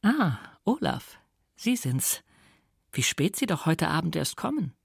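A man's voice: fairly high pitch, sing-song, unhurried, vocal fry, slightly rough, no echo, studio quality, voice pushed up, full character voice. A man voicing an elegant young French countess, a tenderly reproachful wife, in a literary audiobook reading.

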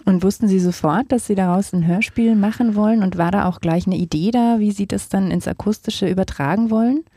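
A woman working as a radio interviewer, giving measured scripted introductions and putting questions to a guest. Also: mid-range voice, warm tone, husky, no room sound.

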